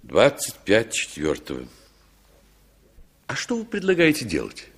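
Courteous, curious gentleman, husky, very animated intonation, polite, vintage Soviet radio drama. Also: medium pitch, a little slow, exaggerated voice. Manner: polite, genteel. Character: courteous, inquisitive male passenger, gently condescending.

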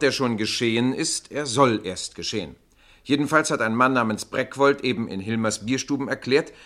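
An actor playing an earnest, composed narrator in a radio play, speaking in a deliberate, formal way to his audience. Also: mid-range voice, fairly bright tone, natural voice.

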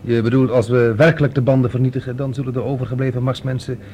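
An actor playing an alert, practical spaceman with a brisk, questioning manner in a science-fiction radio drama. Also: mid-range voice, level tone, dark tone, lightly acted. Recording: noisy old radio drama recording.

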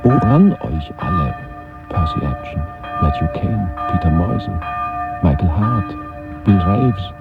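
An actor playing a brooding man who muses haltingly to himself about his dead, in a radio play monologue. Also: deep voice, big pitch swings, gravelly, loud music behind the voice, heavily acted. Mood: mournful. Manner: musing, halting, speaking to himself. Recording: muffled 1970s radio, loud tolling bells.

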